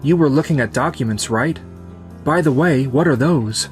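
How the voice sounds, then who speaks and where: A man's voice: medium pitch, moderate pace, soft background music, natural voice. A man voicing a kind, sincere young businessman in a romantic comedy manga dub.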